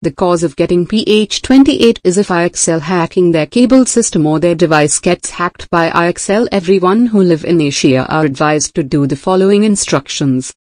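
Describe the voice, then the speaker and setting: A woman's voice: on the low side, normal speed, hardly any pauses, a little mellow, dry recording. A woman's synthetic-sounding voice reading a formal scripted emergency broadcast announcement.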